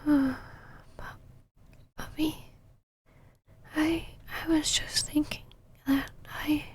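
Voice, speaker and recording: Woman playing a female vampire knight, ASMR roleplay, high pitch, unhurried, soft breathy whisper, possessive, haughty, easily flustered, secretly tender. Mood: tender, hesitant. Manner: haughty but flustered, halting, sighing. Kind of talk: intimate ASMR roleplay, close whispered acting.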